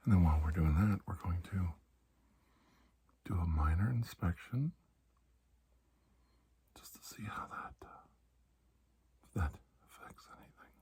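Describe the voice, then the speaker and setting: A man doing soft-spoken ASMR roleplay as a calm doctor, speaking gently and reassuringly, often dropping into a whisper. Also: deep voice, measured pace, long pauses, slight background noise. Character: calm, reassuring male doctor examining a patient. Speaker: ASMR performer playing a doctor.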